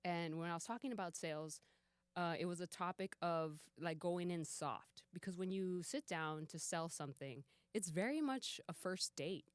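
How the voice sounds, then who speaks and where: A woman in her forties chatting casually on a podcast, an animated storyteller who swears offhandedly and acts out dialogue. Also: on the low side, moderate pace, clear, resonant tone, no room sound. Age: 40s. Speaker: podcast guest. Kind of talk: casual chat.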